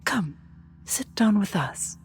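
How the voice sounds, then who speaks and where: A genteel alien prince, played by a voice performer, husky and animated in a mid-range voice. The speech is formal, soft-spoken and hospitable, in audio drama style. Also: mid-range voice, slow pace, heavily acted. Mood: hushed. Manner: formal, gracious.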